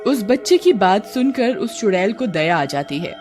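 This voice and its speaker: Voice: mid-range voice, sing-song, normal speed, darkish tone. A narrator telling a scripted horror story in the third person. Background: music.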